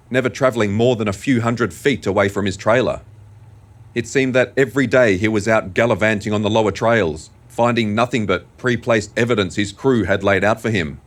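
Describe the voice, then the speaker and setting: A man narrating an audio drama in a measured, deliberate, scripted storytelling style. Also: on the low side.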